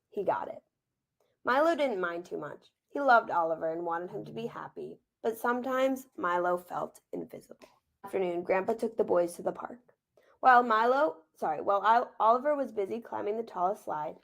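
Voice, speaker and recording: A woman reading a children's picture book aloud as author and teacher, gentle and expressive, with informal asides. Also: medium pitch, moderate pace, creaky.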